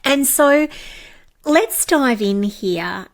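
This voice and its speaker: A woman hosting a solo podcast on coaching, slightly raspy and blocked up from a cold, speaking with effusive praise and casual fillers. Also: sits high, animated, drawn-out words. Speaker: podcast host and coaching trainer.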